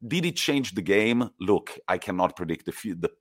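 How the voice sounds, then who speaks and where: A young man talks football in a low, deep voice and tells stories with emphatic, lively energy. His voice sits very low, and the sound is dry and close, with no room around it. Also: normal speed, dry recording. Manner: animated, emphatic storytelling. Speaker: podcast co-host. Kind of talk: casual football chat.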